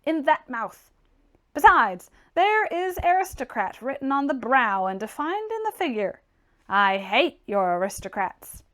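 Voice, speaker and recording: A blunt, mocking young gentleman of trade from a classic novel, acted out by a woman reader. The pitch sits fairly high and swings with great animation. He is opinionated and brusque, throwing out scornful exclamations with emphasis. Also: very animated, measured pace, exaggerated voice. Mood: opinionated, dismissive. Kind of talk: dramatized audiobook character reading.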